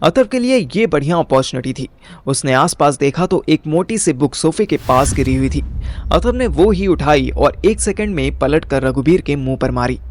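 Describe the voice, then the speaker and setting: A man's voice: fairly high pitch, lively intonation, normal speed, slight background noise. A man narrating a scripted first-person audio story as its storyteller.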